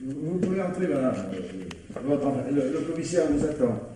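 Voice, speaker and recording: A man's voice: on the high side, sing-song, moderate pace, mellow, slight background noise. An actor playing a flustered, deferential junior policeman in a French radio mystery, stammering awkwardly.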